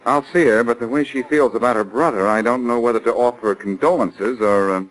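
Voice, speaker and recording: An actor playing a wry, self-assured amateur sleuth with an American accent in 1940s radio drama style. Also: male voice, mid-range voice, a little fast, close to an everyday voice, low-quality recording. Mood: wry, animated. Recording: remastered 1940s radio recording.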